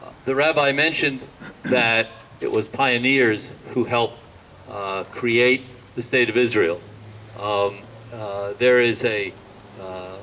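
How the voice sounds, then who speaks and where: A man acting as master of ceremonies makes semi-formal introductions, halting and hesitant, with many ums. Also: medium pitch, a bit bright, far from the mic, a little hiss or hum, poor audio.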